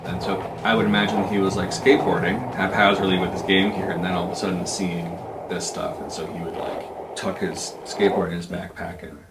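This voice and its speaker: A man's voice: sits low, level tone, a little fast, few pauses, very noisy. A man describing a character's moves in a tabletop game, informal and loosely phrased. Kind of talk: casual improvised roleplay narration. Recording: distant mic, echoey, loud music over voice. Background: loud background music.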